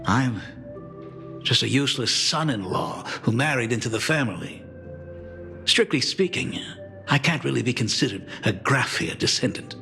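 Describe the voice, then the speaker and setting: A man's voice: medium pitch, lively intonation, normal speed, vocal fry, pushed and bright, soft background music. An actor playing a middle-aged doting father in a video game, self-deprecating, polite and hesitant in an emotive way. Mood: remorseful.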